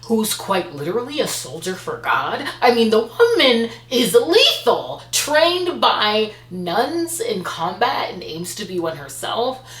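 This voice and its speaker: Low-voiced expressive woman, roomy sound. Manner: expressive, gushing, emphatic storytelling. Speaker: book reviewer and host of a reading channel. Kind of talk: chatty monthly reading wrap-up to camera.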